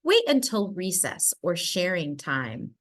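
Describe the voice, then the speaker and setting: Woman playing male mouse schoolteacher, storybook, kindly, firm, unhurried, expressive intonation. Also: on the low side, full character voice. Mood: firm, patient.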